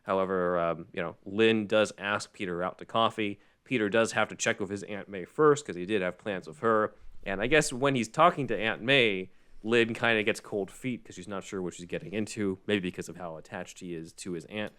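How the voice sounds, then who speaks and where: A man's voice: on the low side, moderate pace, fairly bright tone, clear, resonant tone. A man hosting a podcast in a casual, rambling, conversational way. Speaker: podcast host. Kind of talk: casual podcast discussion of a script.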